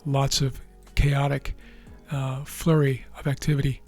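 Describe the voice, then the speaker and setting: A man with a raspy, tired voice, hosting a trading video and explaining live charts in a measured way with frequent fillers and restarts. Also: mid-range voice, monotone, a little mellow, faint music behind. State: losing his voice.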